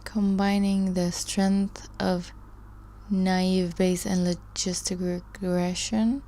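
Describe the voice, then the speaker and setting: A woman's voice: lowish voice, vocal fry, a little mellow. A woman hosting a live study stream, chatting casually with viewers and reading their comments aloud in a rambling, chatty way. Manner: rambling, chatty, laughs often, trails off.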